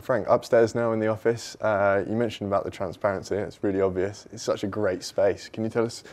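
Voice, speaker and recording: Man, host, from England, low voice, chatty questions, faint background noise. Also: little pitch movement, fairly quick, a little mellow. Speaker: host, interviewer. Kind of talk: interview questions on a tour. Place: warehouse and office.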